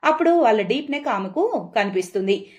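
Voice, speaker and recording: A woman's voice: a little high, big pitch swings, fairly quick, darkish tone. A woman reading scripted storybook narration for a cartoon tale.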